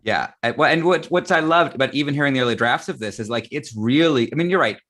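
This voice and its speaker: A man's voice: medium pitch, animated, fast, fairly bright tone. Male interviewer, mid-range voice, casual live-panel talk, keeps tagging his points with "right?". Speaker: interviewer in a theatre discussion.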